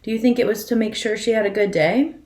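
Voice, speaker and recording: A woman's voice: a little high, fast, darkish tone, a little hiss or hum. A woman reading a children's picture book aloud expressively as a storyteller, putting questions to her listeners. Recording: echoey room.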